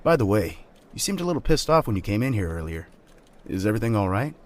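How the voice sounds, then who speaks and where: A man's voice: fairly low pitch, sing-song, fast. An actor playing a laid-back, teasing young man and loyal friend in an audio drama, casual and joking. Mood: friendly. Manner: casual, joking, swears freely.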